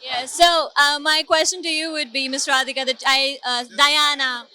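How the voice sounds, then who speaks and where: A woman's voice: high-pitched, fairly even intonation, moderate pace, pushed and bright. A woman reporter interviewing guests at a film premiere, effusive and enthusiastic. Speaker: TV event reporter. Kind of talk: red-carpet interview.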